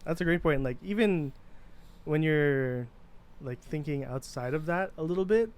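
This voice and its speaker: A young man co-hosting a casual podcast, talking in an easygoing, drawn-out way and laughing often. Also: on the high side, lively intonation, a little mellow. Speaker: podcast co-host. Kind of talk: casual chat.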